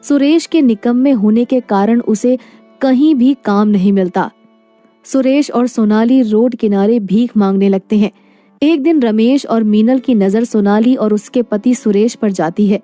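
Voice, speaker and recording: A woman's voice: fairly high pitch, measured pace, dark tone, no echo, soft background music. A woman narrating a scripted moral story.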